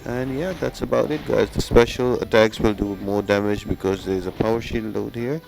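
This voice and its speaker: A man's voice: fairly low pitch, fairly even intonation, moderate pace, creaky, a little mellow, slight background noise. A man who hosts a gaming channel, giving casual, instructional walkthrough commentary and talking directly to his viewers. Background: music.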